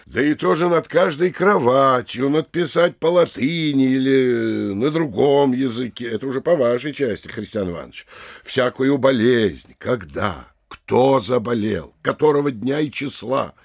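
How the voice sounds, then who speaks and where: An actor playing a pompous, middle-aged provincial mayor, deliberate and admonishing, in a theatrical audio drama. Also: male voice, mid-range voice, animated, gravelly, dry recording, clean studio sound.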